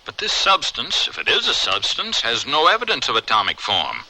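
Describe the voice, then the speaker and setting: An actor playing a reasonable, loyal scientist in a radio drama, speaking in a measured, earnest way with urgent appeals. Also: male voice, medium pitch, huge pitch swings, pushed and bright, exaggerated voice, poor audio. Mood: astonished, puzzled. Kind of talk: old-time radio drama acting.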